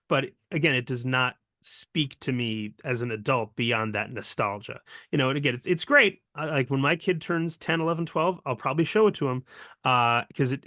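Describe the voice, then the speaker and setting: Man, forties, bright forward voice, dry close sound, casual podcast co-host, opinionated, emphatic, digressive. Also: mid-range voice, no echo. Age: 40s. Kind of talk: casual discussion.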